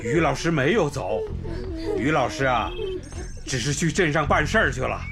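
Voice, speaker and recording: A man's voice: on the high side, lively intonation, normal speed, vocal fry. A male actor playing a kindly, reassuring village primary school principal in a radio drama. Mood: soothing. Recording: music behind the voice.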